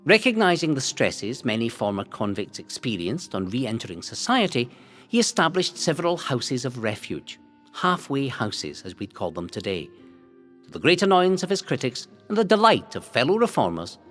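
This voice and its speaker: A male narrator reads scripted history in a formal, measured delivery. His mid-pitched voice moves through lively rises and falls, giving each phrase dramatic weight. Music plays softly behind him.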